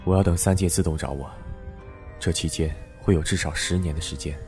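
Man as a grave otherworldly man, low voice, restrained, costume radio play, music underneath. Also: fairly quick, a little mellow, lightly acted. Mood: solemn, resolute. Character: reserved, solemn supernatural man hiding his affection. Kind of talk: Chinese costume audio drama acting.

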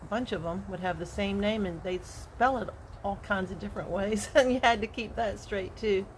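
A woman in her sixties, a retired teacher, sharing a gentle, earnest devotional while recording on her phone on an outdoor porch. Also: mid-range voice, a little hiss or hum, poor audio. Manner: slow, gentle and earnest, with soft laughs. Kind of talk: casual devotional walk-and-talk vlog. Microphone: phone held at arm's length.

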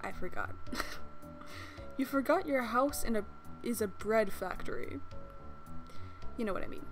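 A woman's voice: fairly high pitch, expressive, unhurried. A young woman hosting a let's play, reading a visual novel aloud and joking between lines with a playful, giggly manner and casual swearing. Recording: voice with game music behind. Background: game music.

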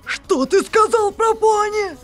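A woman's voice: very high voice, slow pace, fairly bright tone, faint music behind, voice pushed up, full character voice. A woman voicing a brash young male hero knight in a visual novel, talking in an indignant, demanding way. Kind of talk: amateur voice-over of a visual novel.

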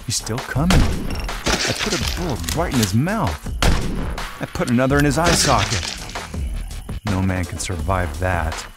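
A hard-boiled male sniper, played by an actor, narrates a motion comic in a low voice. He is terse, boastful and cold-blooded, talking like a cynical professional killer over loud music. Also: very animated, measured pace, long pauses. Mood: disbelieving, tense. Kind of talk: first-person scripted narration of a motion comic.

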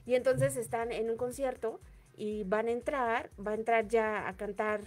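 A woman's voice: a little high, fairly even intonation, moderate pace, faint music behind. A young woman with a Mexican accent co-hosting a celebrity gossip radio show, chatting casually and retelling scenes with quoted voices. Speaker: entertainment show co-host. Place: small radio studio booth. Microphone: studio mic on desk stand.

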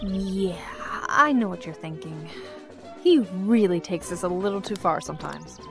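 A woman's voice: lowish voice, animated, measured pace, gravelly. Woman playing a chatty young grad student, faint music. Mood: wry. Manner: conversational, candid. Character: chatty, candid young female graduate student. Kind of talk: audio drama acting.

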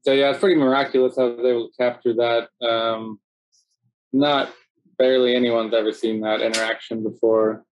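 A middle-aged man, presenting a slideshow in a relaxed, chatty way full of "so yeah" and "um", speaking over a video call from home. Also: mid-range voice, normal speed, rough recording. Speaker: city cave biologist presenting. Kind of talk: casual narrated slideshow talk.

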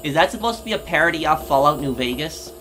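A man's voice: fairly high pitch, lively intonation, fast, pushed and bright, slight background noise. A man hosting a gaming video with casual, off-the-cuff commentary as he plays. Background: video game sounds.

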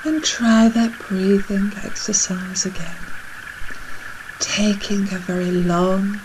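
Soft breathy woman doing a breathing meditation, super slow n calm, some ambient noise behind her. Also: mid-range voice. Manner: soothing, measured, unhurried instruction. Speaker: meditation guide.

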